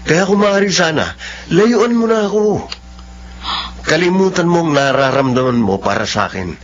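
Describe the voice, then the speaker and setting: An actor playing an earnest, proud young boyfriend in a radio drama, with a husky voice. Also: male voice, on the high side, animated, normal speed. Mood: guarded, firm. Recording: muffled lo-fi drama audio with music.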